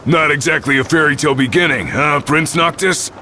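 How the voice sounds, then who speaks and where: An actor playing a big, gruff young tough guy in a video game, bossy and teasing. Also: on the high side, brisk, pushed and bright, slight background noise.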